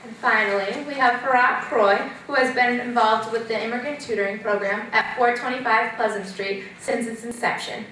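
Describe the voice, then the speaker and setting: Woman emcee reading a formal introduction, bright tone, heard from afar in a very echoey lounge with faint room noise. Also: on the high side, fairly even intonation, normal speed, hardly any pauses, far from the mic. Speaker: event presenter. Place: university lounge.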